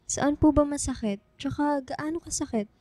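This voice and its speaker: A woman's voice: sits high, moderate pace, warm tone, close to an everyday voice. A woman acting a sweet, doting young daughter in a radio drama, respectful and playfully affectionate, with a Filipino accent. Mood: concerned, tender. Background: background music.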